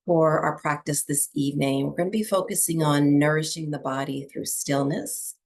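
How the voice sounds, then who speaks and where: A woman teaching breathwork and meditation to a small group, speaking softly and soothingly with long pauses between phrases. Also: low voice, fairly even intonation. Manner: soft, unhurried, soothing delivery with long pauses.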